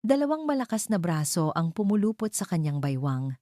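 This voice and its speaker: A woman storyteller with a low, mellow voice reads a dramatic love story. She moves in measured, short phrases, and the sound is dry and close. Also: sing-song, no echo. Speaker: story narrator. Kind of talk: dramatic scripted storytelling narration.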